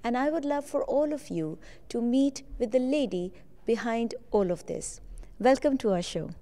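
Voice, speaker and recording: A woman's voice: fairly high pitch, lively intonation, moderate pace, dark tone. A woman hosting an on-location TV interview, effusive and admiring, with frequent uh fillers. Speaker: TV show host. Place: indoors at a school art exhibition.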